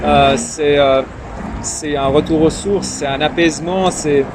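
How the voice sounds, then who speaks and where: A man's voice: a little high, fairly even intonation, a little slow. A timid young man born in Iran, whose first language is Farsi, speaking in a casual interview with many fillers and repeated words. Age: young adult. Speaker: interview guest, young politician. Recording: noisy street and traffic ambience.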